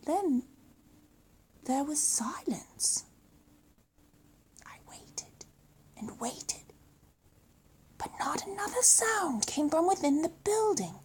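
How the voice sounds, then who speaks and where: A woman with a British accent reading a children's story aloud in a theatrical, expressive way, with dramatic emphasis. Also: extremely high pitch.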